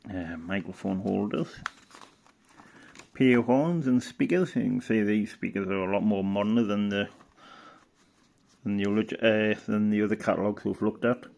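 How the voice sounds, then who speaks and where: Low, creaky-voiced man, casual commentary. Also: normal speed, long pauses, a little mellow. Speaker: hobbyist presenter. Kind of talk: casual unscripted commentary while browsing a catalogue.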